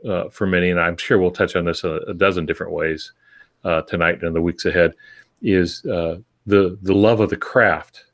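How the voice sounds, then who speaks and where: Deep-voiced, measured mature man. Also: steady tone. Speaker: podcast co-host and experienced writer. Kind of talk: reflective conversational discussion.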